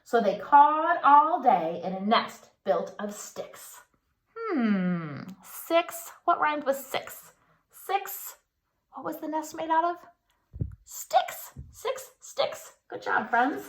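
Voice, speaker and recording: A woman reads a picture book to young children as their teacher would. Her pitch moves up and down in an animated, singsong way. She takes her time and stops to put questions to the listeners. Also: a little hiss or hum, rough recording. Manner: expressive, singsong, pausing to ask children questions. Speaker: pre-K teacher.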